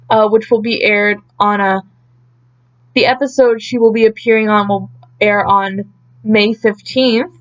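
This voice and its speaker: A woman with a fairly high-pitched voice presents news in a casual, chatty way. She pauses and thinks aloud as she goes through her notes. The sound is a little muffled and lo-fi, like a simple home recording. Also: a bit bright. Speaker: host of an idol news update.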